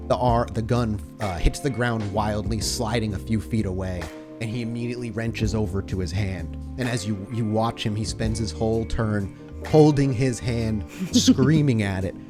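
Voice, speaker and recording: A man running a tabletop horror game as storyteller, narrating in a descriptive, cinematic way. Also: fairly low pitch.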